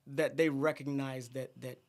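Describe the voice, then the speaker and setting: A middle-aged man from Guyana, a singer, chatting in an interview in a soft, halting way with many fillers. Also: mid-range voice, level tone. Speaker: guest singer. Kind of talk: casual interview chat.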